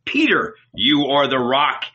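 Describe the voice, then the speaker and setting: A boastful, sullen man from a biblical audiobook tale, acted by a male reader. He blusters hot-bloodedly in a sharp, medium-pitched voice. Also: sing-song, unhurried, lo-fi sound, exaggerated voice. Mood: indignant.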